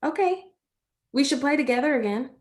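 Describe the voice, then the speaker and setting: Gentle American farm girl, played by a woman, folksy and comforting, for a stage drama reading. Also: high voice, animated, a little fast. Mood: friendly. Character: gentle, chatty rural girl, playful and comforting.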